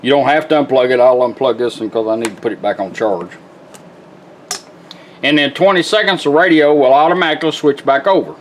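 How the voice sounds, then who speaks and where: A man's voice: medium pitch, brisk, slight background noise. A man giving an informal, folksy, step-by-step product demonstration in a plain-spoken way. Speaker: vintage car radio restorer demonstrating a product. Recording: music from the demonstrated radio behind.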